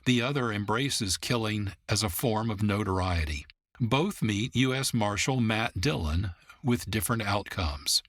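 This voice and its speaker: A man's voice: fairly low pitch, a little slow, fairly bright tone, no echo, clean studio sound. A man hosting a radio program, reading scripted narration. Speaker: producer and host.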